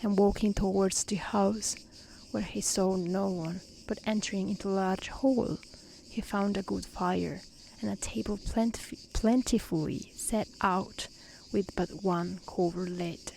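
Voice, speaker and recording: A woman reading a storybook aloud in a soft, breathy, half-whispered ASMR style, gently and carefully. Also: mid-range voice, steady tone, a little slow, low-quality recording. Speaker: narrator reading a fairy tale. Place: outdoors in nature. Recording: faint outdoor insect noise. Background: crickets and insect ambience.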